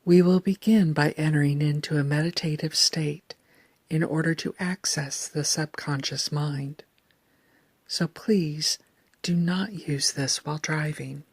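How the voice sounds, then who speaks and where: A woman leads a guided meditation in a low, hushed voice full of breath. She speaks very slowly and calmly, placing each phrase with care. The sound is close and dry, with a soothing, deliberate delivery. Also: no echo. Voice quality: soft, breathy, near-whispered. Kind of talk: slow scripted guided meditation narration.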